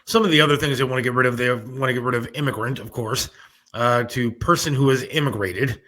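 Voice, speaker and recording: A man hosting a casual podcast, briskly reading items off a list and chatting with his co-host. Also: medium pitch, sharp tone.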